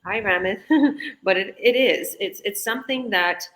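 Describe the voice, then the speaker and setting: A woman's voice: mid-range voice, a little slow, a bit bright, a little hiss or hum. Chatty woman on a video call. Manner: chatty, enthusiastic, many restarts. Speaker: online business owner. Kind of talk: casual group video call.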